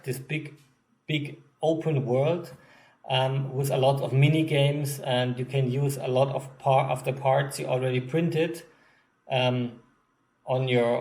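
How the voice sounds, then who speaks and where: A man with a German accent, a game designer giving an unscripted update to backers, pausing often with many ums and restarts. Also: mid-range voice, creaky.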